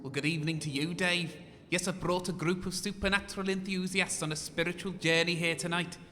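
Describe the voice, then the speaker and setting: A man playing a self-important spiritual medium and tour guide in a comedy audio drama, speaking in a solemn, measured way. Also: sits high, fairly even intonation, pushed and bright, long reverb tail, a little hiss or hum. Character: self-important male spiritual medium leading ghost tours.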